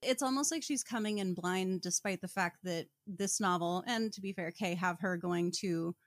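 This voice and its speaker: A woman's voice: lowish voice, normal speed, dry recording, studio quality. A woman co-hosting a podcast, bantering casually about a book. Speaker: podcast co-host.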